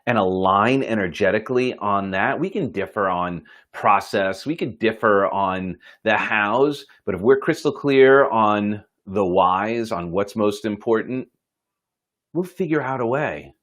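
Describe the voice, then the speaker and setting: A man telling a motivational story in an informal, folksy and earnest way, pausing for dramatic effect. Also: fairly low pitch, fairly bright tone. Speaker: host telling a moral story.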